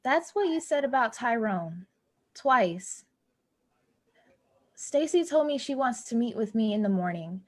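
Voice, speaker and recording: An actress playing an anxious, plainspoken young building employee in a naturalistic play reading. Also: female voice, a little high, very animated, measured pace, long pauses. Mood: worried, resigned. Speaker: actor in a staged play reading. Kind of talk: naturalistic stage dialogue reading.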